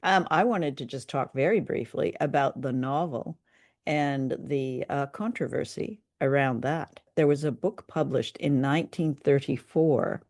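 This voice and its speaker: A woman co-hosting a conversational review show, speaking in measured, hesitant phrases. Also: very deep voice, darkish tone, no echo, studio quality. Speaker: podcast co-host. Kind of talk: conversational radio drama review.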